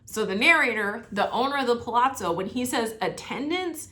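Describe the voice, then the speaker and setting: A woman teaching literature in a casual, slangy style, breaking a story down piece by piece. Her voice is fairly high and bright, and her intonation swings widely as she explains. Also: moderate pace. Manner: animated, colloquial, uses slang. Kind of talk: casual lesson explaining a story read aloud.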